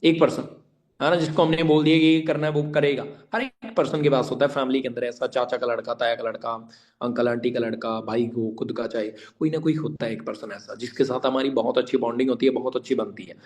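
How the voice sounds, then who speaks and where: A man's voice: medium pitch, fairly even intonation, a little fast, a little mellow. A man giving informal online training, emphatic and repetitive, addressing listeners directly and mixing in English words. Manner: mixes English words and phrases into speech. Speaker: online network-marketing trainer. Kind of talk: informal instructional talk to an online meeting.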